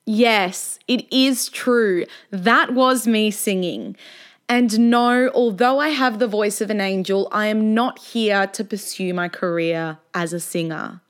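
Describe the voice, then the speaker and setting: A woman's voice: medium pitch, slow pace, smooth. A woman in her twenties hosting her own podcast, reading a scripted narration in a candid, self-deprecating way. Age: 20s. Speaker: podcast host.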